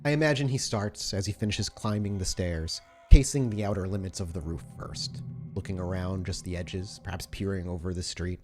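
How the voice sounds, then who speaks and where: A man runs a tabletop horror game as its storyteller, painting scenes with deliberate, detailed description. His voice sits on the low side, with music clearly playing underneath. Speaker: game master.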